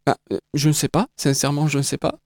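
A man's voice: on the high side, level tone, fast, darkish tone, no echo, clean studio sound. A man whose first language is Kabyle, an exhibition creator giving a radio interview, speaking hesitantly with many fillers and restarts. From North Africa (Kabyle).

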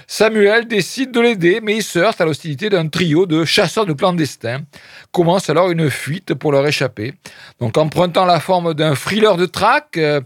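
A man's voice: a little high, slightly rough, no room sound, clean studio sound. A man presenting film reviews on the radio, reading press quotes and adding wry, hesitant asides full of fillers.

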